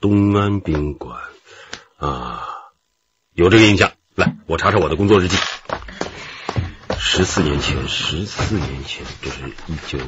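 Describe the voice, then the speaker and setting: An actor playing a genial, modest middle-aged detective chief who reminisces in a chatty, deliberate way in a radio drama. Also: male voice, deep voice, expressive, noisy background. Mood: musing. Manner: chatty, deliberate, with drawn-out interjections. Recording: muffled, dull radio drama recording.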